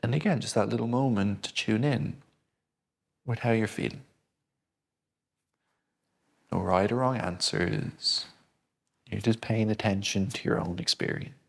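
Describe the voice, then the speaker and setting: A man gently guiding a breathing exercise, speaking slowly and soothingly. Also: on the low side, gravelly. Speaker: breathing instructor. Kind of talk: guided breathing lesson.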